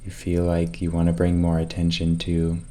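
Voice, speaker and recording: A man leading a guided meditation, giving calm, measured instructions with long pauses between them. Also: low voice.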